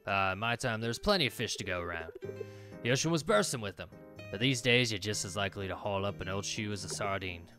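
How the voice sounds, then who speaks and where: A man's voice: on the low side, lively intonation, fast talker, over music, natural voice. A man voicing a grumbling, opinionated old fisherman father from a game's dialogue. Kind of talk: reading game dialogue aloud in character.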